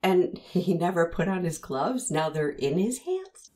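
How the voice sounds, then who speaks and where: A woman's voice: lowish voice, lively intonation, a little slow, warm tone. A woman hosting a commentary, analyzing an interview and pressing the listener with pointed rhetorical questions. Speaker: host analyzing interview footage.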